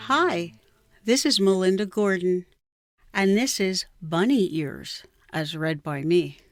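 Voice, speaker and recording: A woman reading her own poem in a measured recitation. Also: fairly low pitch, expressive, dry recording, studio quality.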